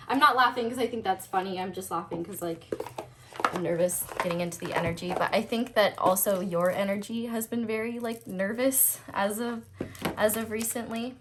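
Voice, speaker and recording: A woman talks straight to her audience through a head cold, her nose audibly stuffed up. She rambles casually through a tarot reading, trailing off into fillers and nervous little laughs, at an ordinary middle pitch. A faint hiss sits under the recording. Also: moderate pace. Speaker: tarot reader, channel host.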